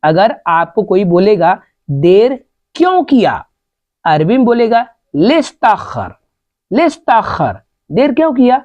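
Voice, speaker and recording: A woman teaching Arabic phrases in a slow, repetitive vocabulary lesson, saying each phrase several times. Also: mid-range voice, very animated, warm tone, no room sound. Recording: dull, lo-fi sound.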